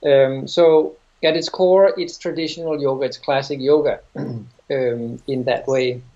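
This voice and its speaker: Middle-aged danish guy explaining yoga, slow careful restarts, kinda dull lo-fi sound. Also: mid-range voice, fairly even intonation, mellow. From Denmark. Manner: thoughtful, halting, restarts phrases. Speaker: yoga teacher. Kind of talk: interview answers.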